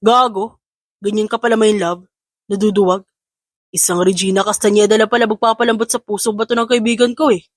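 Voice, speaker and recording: A woman's voice: medium pitch, steady tone, a little fast, no room sound, clean studio sound, close to an everyday voice. A woman with a Filipino accent voicing a man's teasing best friend in an audiobook romance, blunt and slangy.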